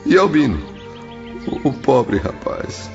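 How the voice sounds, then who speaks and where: An actor playing a grieving father who prays for the children he abandoned, in a pleading, gravelly voice. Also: mid-range voice, sing-song, slow pace. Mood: pleading prayer. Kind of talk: audio drama acting. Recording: dull lo-fi audio with music behind.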